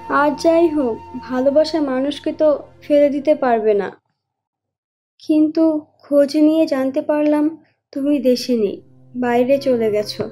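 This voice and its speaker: A woman's voice: sits high, mellow. A woman playing the sorrowful, resigned ghost of a wronged young woman in a horror cartoon, speaking plaintively and with reproach. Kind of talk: dramatic horror cartoon voice acting. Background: music.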